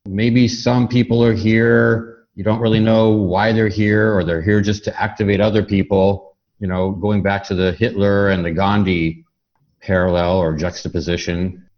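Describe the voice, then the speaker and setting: Lower-voiced man, muffled lo-fi recording. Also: flat delivery, normal speed. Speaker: podcast interviewer. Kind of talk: casual reflective interview. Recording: dull lo-fi audio.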